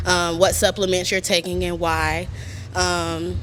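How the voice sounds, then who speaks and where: A young woman chiropractor, speaking earnestly on a panel with frequent pauses. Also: fairly low pitch, flat delivery, a bit bright, slight background noise. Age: young adult. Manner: earnest, filler-heavy, deliberate. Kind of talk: panel talk.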